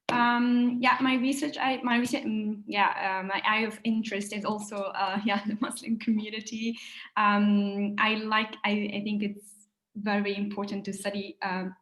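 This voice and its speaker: A woman's voice: medium pitch, slight background noise. A woman student introducing herself in an online seminar, hesitant and full of fillers and restarts, over a video call. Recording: roomy echo.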